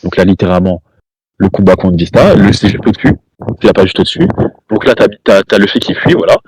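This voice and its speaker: A man's voice: low voice, quick pace, a little mellow, a little hiss or hum, rough recording. A man streaming from home, arguing heatedly with a caller, repeating himself, talking over the other person and throwing insults. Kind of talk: heated live-stream argument.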